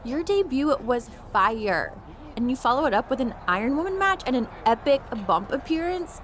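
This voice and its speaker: Vain young female wrestler, game cutscene, very animated, gushing, sugary passive-aggressive, noisy background. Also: high voice, normal speed. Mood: gushing, confident. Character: vain, bubbly, self-absorbed young female pro wrestler. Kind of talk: video game cutscene acting.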